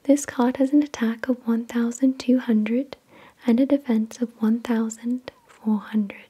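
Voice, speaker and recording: Breathy whispering woman, slow and soft. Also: on the high side, fairly even intonation. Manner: measured, repetitive, gentle reading. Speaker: ASMR host. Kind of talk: whispered ASMR card unboxing, scripted reading.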